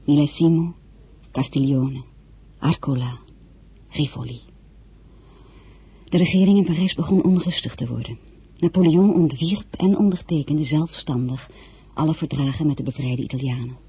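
A woman's voice: sits low, normal speed, long pauses, warm tone, husky. An actress playing a sorrowful young woman narrating her own life in a dramatic radio play. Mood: grave, recounting. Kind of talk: dramatic first-person narration.

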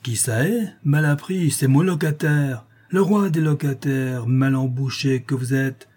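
Casting: man playing elderly female concierge, storybook comedy, husky, feisty, colloquial scold with mock-heroic indignation. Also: medium pitch, a little slow, a little mellow, voice pushed up. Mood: indignant.

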